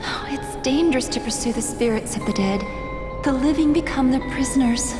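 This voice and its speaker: Actress as worried game heroine, slightly high voice, urgent and earnest, over music. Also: female voice, a little fast, close to an everyday voice. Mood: grave warning. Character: earnest, worried woman warning of spirits. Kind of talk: video game cutscene voice acting.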